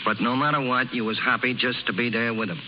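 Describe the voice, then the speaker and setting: A man's voice: medium pitch, fairly even intonation, quick pace, lightly acted. An actor playing a tough, protective circus canvas man with blunt, slangy talk in a 1930s radio drama. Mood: gentle, hesitant. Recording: muffled, noisy 1930s radio recording.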